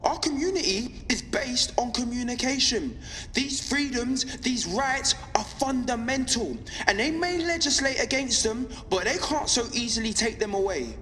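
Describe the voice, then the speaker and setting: High-voiced, impassioned young rebel broadcaster. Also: male voice, lively intonation, sharp tone, big-room sound, a little hiss or hum, rough recording. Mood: defiant, solemn. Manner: impassioned, measured, rhetorical. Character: defiant young rebel pirate radio broadcaster. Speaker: underground radio broadcaster. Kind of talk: dramatic monologue to listeners.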